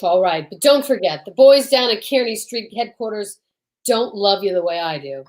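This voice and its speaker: A woman's voice: mid-range voice, expressive, moderate pace, lightly acted. A woman playing a suspicious, needling male police detective in an old-time radio drama, dry and terse. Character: gruff, suspicious male police detective, fond but bossy.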